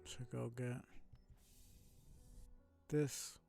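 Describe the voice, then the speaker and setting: Slow, gravelly man muttering over a game. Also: flat delivery, dark tone, soft background music. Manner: low-key, thinking aloud in short fragments. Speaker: streamer playing a video game. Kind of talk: casual muttered gameplay commentary.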